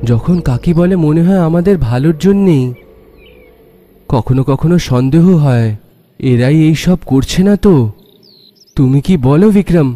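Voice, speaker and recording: A man's voice: a little high, normal speed, pauses often, mellow, soft background music, lightly acted. A man playing a wary, inquisitive young villager-in-hiding in cartoon dialogue, earnest and questioning.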